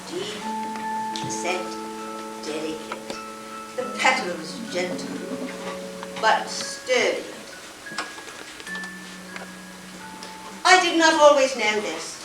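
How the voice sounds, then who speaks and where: An actress playing an earnest, awkward, wonder-struck woman in a theatrical stage monologue, savoring her words with deliberate pauses. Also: a little high. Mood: dreamy, tender. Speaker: stage actor. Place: college theater stage before an audience. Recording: echoey theater, distant mic, noisy hiss.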